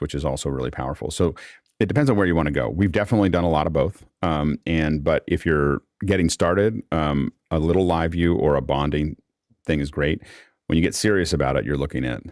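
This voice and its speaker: A man's voice: extremely low pitch, a little mellow, dry recording, studio quality. A man hosting an online panel, explaining technical things casually in a rambling way with repeated phrase openings. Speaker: host of an online panel discussion.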